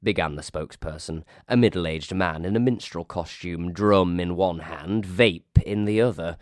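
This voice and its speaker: A man with a low voice tells a story in a wry, dryly comic way. His scripted reading is recorded close and dry, with almost no room sound. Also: normal speed, no echo. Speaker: reader of a ghost story.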